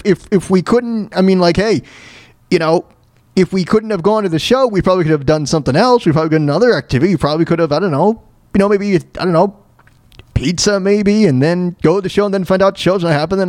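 High-pitched man, halting and plaintive. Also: dry recording. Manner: halting, stammering with repeated false starts; plaintive, self-deprecating. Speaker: host of a solo talk show. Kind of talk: casual personal rant and storytelling.

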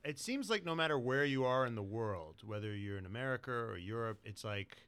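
Bright-voiced man hosting a podcast. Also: medium pitch, expressive, fairly quick, smooth. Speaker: podcast host. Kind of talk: casual comedic interview.

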